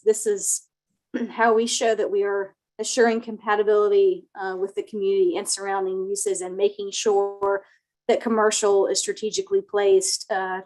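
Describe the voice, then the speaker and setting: Woman, soft voice, flat and even delivery, a bit under the weather, computer mic. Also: mid-range voice, low-quality recording. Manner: soft-spoken, many fillers. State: slightly sick. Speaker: project planner presenting to a town board. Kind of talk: formal presentation at a remote meeting. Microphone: computer mic on a video call.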